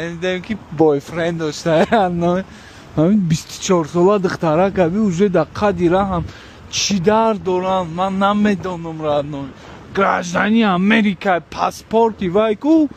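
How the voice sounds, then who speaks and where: A man from Tajikistan, a delivery driver vlogging casually, chatty and switching into halting broken English with strangers. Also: high-pitched, slight background noise. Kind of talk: casual street vlog.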